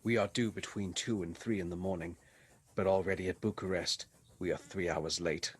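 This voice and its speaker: An actor in a radio play voicing a sober, anxious Englishman who reads out his journal in a measured, grave way. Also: low voice, fairly even intonation, breathy edge.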